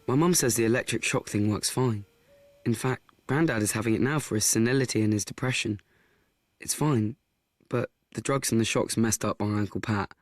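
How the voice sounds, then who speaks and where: A man's voice: lowish voice, normal speed, no room sound, lightly acted. Dry, close-up awkward schoolboy played by a man. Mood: earnest, confiding. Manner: hesitant, rambling, self-conscious. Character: awkward, nervous, earnest teenage schoolboy. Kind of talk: radio play acting with confiding narration.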